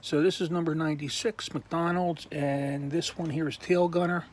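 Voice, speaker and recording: A man slowly showing off his toy collection in a casual video, pausing often with ums and clearing his throat while losing his voice. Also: fairly high pitch, level tone, a little hiss or hum. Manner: slow, halting, many ums and uhs, frequent throat clearing. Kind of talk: casual show-and-tell.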